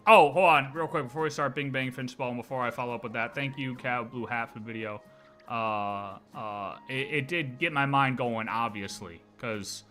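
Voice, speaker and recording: Bright, forceful man livestreaming casually. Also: mid-range voice. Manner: emphatic, slangy, repeats phrases. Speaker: game livestreamer.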